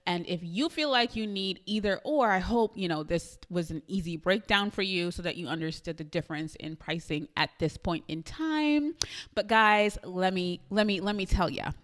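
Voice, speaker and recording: A woman's voice: on the low side, expressive, normal speed. A woman with a Trinidadian accent talking chattily and eagerly to her viewers in a casual tutorial vlog. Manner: chatty, enthusiastic, addresses viewers directly with frequent laughs. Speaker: YouTube creator.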